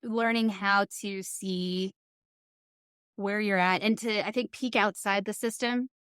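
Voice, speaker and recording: A woman's voice: mid-range voice, steady tone, slow, a bit bright, clean tone, no echo, clean studio sound. A woman hosting a conversational interview podcast, speaking in thoughtful, reflective phrases. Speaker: podcast host.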